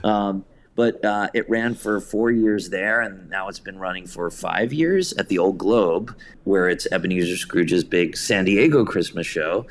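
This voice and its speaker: A man's voice: low voice, a little slow. A man speaking casually as an interview guest, telling anecdotes and acting out bits of remembered conversation. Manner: anecdotal, quoting conversations in his own voice. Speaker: guest, theatre director and writer.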